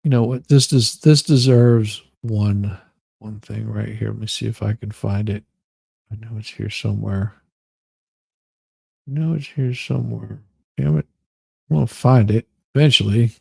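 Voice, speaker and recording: Gravelly, theatrical man hosting a livestream. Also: on the low side, fairly even intonation, moderate pace, long pauses, mellow, no echo. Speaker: livestream host. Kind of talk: casual livestream chat with mock-dramatic readings.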